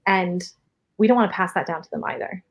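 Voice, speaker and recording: A woman from New York City with a New York area accent, a speech therapist answering interview questions in a steady, explanatory way full of "like.". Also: mid-range voice, brisk. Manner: explanatory, steady, many fillers of "like". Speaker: speech-language pathologist guest.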